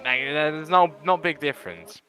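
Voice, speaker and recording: A man's voice: fairly high pitch, sing-song, normal speed, sharp tone, faint music behind. A man whose first language is Bosnian, chatting casually as a co-commentator on a sim racing livestream and laughing easily. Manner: chatty, laughs easily, jumps in over others.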